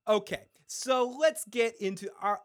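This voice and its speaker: A man's voice: high-pitched, a little slow. A man co-hosting a casual fan podcast, loose and profane, laughing often and reading out listener messages. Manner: reads listener messages aloud. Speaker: podcast co-host.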